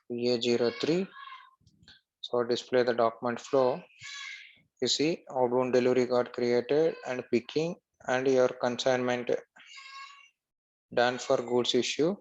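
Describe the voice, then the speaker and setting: A man narrating a screen-share software tutorial step by step as an instructor. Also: mid-range voice, lo-fi sound, slight background noise.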